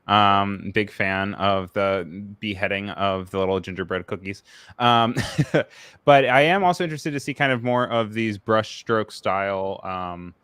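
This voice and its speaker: A man's voice: lowish voice, measured pace, fairly bright tone, smooth, no room sound. An American man hosting a live-streamed talk show, asking questions in an easygoing way with many fillers. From United States.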